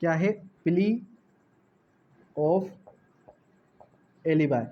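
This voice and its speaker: High-pitched Pakistani man lecturing. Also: drawn-out words, highs cut off. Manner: didactic, repeats key phrases and asks rhetorical questions. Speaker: law tutor. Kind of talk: exam-prep lecture mixing Urdu with English legal terms.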